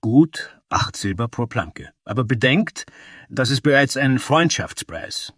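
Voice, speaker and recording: A man voicing an old dragonkin carpenter in a fantasy audio story, measured and fair, with a cautionary manner. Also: medium pitch, lively intonation, a bit bright, breathy edge, no echo, clean studio sound, voice pushed up, exaggerated voice. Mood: calm, fair.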